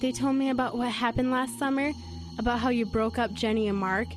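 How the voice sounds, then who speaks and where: A woman's voice: on the high side, flat delivery, brisk, soft background music, lightly acted. A woman playing a secretive, vengeful teenage schoolgirl in an audio horror drama, with an evasive, hesitant manner. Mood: quietly menacing.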